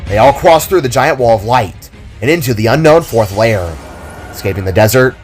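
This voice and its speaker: A man's voice: medium pitch, animated, moderate pace. A man reads as the narrator of a fantasy adventure. His delivery is dramatic and scripted, in a mid-range voice with music underneath.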